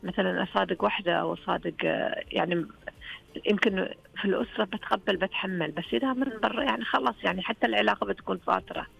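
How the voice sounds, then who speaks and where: A woman's voice: medium pitch, rapid-fire, vocal fry, a bit bright, low-quality recording. A woman with a Saihat Saudi accent, calling in to a radio show and chatting earnestly and frankly. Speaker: radio call-in caller. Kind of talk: casual phone-in chat.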